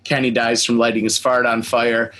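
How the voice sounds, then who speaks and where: Man, average pitch, interview host, casual chat, informal, enthusiastic. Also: little pitch movement, normal speed, a bit bright.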